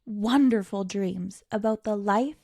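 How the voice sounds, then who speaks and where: A woman reading a children's picture book aloud as a storytime reader, theatrical, with dramatic pauses and stretched words for emphasis. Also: medium pitch, mellow, dry recording, clean studio sound.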